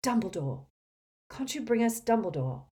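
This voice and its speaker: A woman's voice: on the high side, very animated, normal speed, warm tone, voice pushed up. A woman voicing a direct, urgent teenage boy wizard in an audiobook. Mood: eager. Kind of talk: audiobook character acting.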